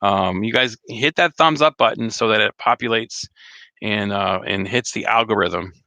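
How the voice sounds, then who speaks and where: A slightly hoarse man chatting casually on a live stream, rambling with many fillers and restarts. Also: lowish voice, normal speed, pushed and bright, no echo. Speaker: RV vlogger hosting a live chat.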